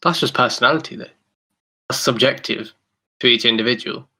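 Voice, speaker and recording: A man talks as a co-host on a casual sports podcast. His voice is bright and forceful, sitting at an average pitch. He speaks conversationally, sharing his views. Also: brisk.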